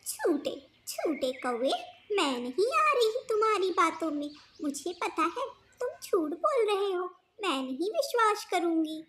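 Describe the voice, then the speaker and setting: Woman as small cartoon bird lady, sweet-natured, earnest, exclaiming, high voice, animated intonation. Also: measured pace, full character voice. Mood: indignant. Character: kind-hearted, easily fooled little female bird. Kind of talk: Hindi cartoon voice acting.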